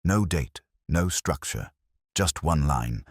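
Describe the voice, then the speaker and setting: A man playing a brooding, world-weary police detective, narrating a case in solemn, literary first person with a husky, measured delivery. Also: very deep voice, lively intonation, dry recording, studio quality, exaggerated voice. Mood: sombre, measured.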